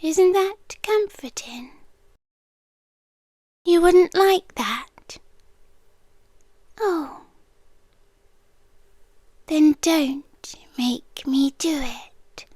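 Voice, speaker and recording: A woman's voice: high-pitched, dry recording, studio quality. A British woman playing a centuries-old possessive monster lover in an ASMR roleplay, coaxing and sweetly menacing, often slipping into a whisper. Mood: quietly threatening. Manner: slow, hushed, coaxing, often drops into whispers.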